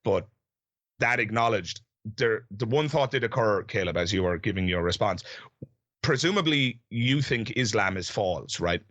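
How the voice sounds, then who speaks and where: A man's voice: fairly low pitch, animated, fairly bright tone, no echo. A man, hosting a casual debate and phrasing his questions in careful, restated steps. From Ireland. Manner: measured, restates questions with fillers and asides. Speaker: podcast host and debater.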